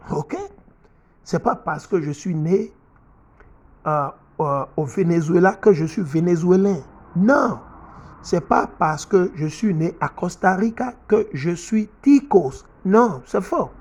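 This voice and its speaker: A man's voice: high voice, lively intonation, slow pace, a little mellow. A middle-aged man preaching and teaching from the Bible in an emphatic way, often repeating himself and asking his listeners if they follow.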